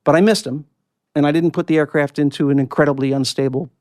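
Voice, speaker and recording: Fast-talking, higher-pitched man telling a story. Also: steady tone, a little mellow, no room sound, studio quality. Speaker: guest, former air traffic controller and pilot. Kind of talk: explanatory interview storytelling with technical detail.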